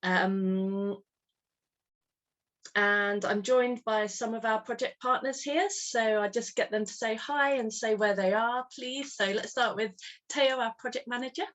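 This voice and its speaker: A woman's voice: on the high side, steady tone, measured pace, a bit bright. A woman from England, hosting an online meeting in a chatty, friendly way, laughing often. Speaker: webinar host. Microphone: video call.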